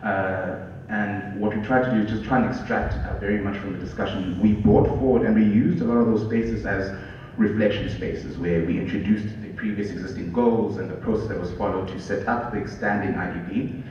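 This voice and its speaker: A man in his thirties with a South African English accent, a program manager giving a loud, friendly conference talk with a handheld mic. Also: on the low side, fairly even intonation, a little fast, distant mic, slight background noise. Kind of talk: conference presentation. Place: large hall with curtains. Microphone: handheld microphone. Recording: echoey hall with long reverb, dull.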